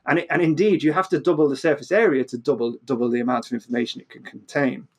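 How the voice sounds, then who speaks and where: A man's voice: medium pitch, fairly even intonation, fast talker. A man explaining physics conversationally as an interview guest, often repeating words and restarting mid-phrase.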